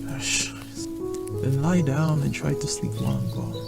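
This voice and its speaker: A man playing a busy but gently attentive farmer husband in an amateur radio drama, plain and matter-of-fact. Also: medium pitch, wildly swooping pitch, a little slow, creaky, over music, exaggerated voice. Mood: caring.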